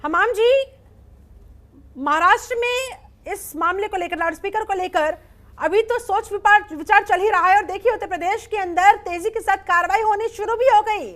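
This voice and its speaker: A woman's voice: very high-pitched, moderate pace, a bit bright. A woman anchoring a TV news debate, cutting in and pressing her guests with direct questions.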